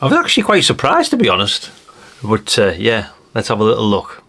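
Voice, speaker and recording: A man's voice: fairly low pitch, wildly swooping pitch, fairly quick, background noise. A middle-aged man chatting casually and unscripted as he shows things, mildly sweary and full of tag questions. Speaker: host of a retro radio collecting channel. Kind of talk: casual unscripted show-and-tell chat.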